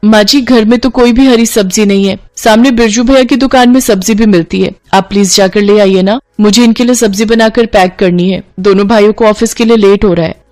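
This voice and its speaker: A woman's voice: mid-range voice, steady tone, a little fast, few pauses, darkish tone, natural voice. A woman voicing a fed-up but timid young Indian daughter-in-law, whiny and exasperated, in a story. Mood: pleading. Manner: whiny, exasperated, polite to elders.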